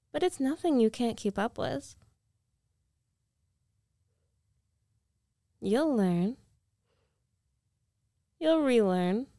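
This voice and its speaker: A woman's voice: a little high, warm tone, no room sound. Woman acting a dominant rich ex-girlfriend, close and dry. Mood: coaxing, controlling. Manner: slow, coaxing, self-assured, condescending. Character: rich, domineering, possessive young ex-girlfriend coaxing her lover back. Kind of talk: intimate ASMR girlfriend roleplay. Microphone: close microphone, dry.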